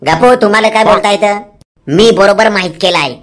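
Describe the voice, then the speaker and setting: A woman's voice: medium pitch, fairly quick, a little hiss or hum, lightly acted. A woman voicing a feisty, naive, bossy village auntie in a cartoon comedy, combative. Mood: boastful, threatening. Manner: combative, confused, boastful. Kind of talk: Marathi comedy cartoon dialogue. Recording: music behind the voice. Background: music.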